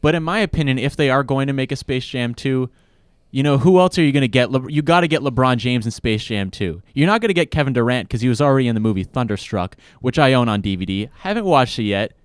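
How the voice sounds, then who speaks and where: A man's voice: medium pitch, animated, fast talker, clean tone, dry recording. A young man hosting a casual sports talk show in a studio, enthusiastic and a little rambling. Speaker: podcast host.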